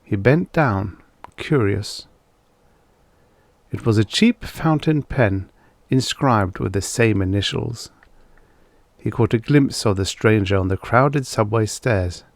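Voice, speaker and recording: Slow, low-voiced man narrating a story. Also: no room sound. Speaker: audiobook narrator.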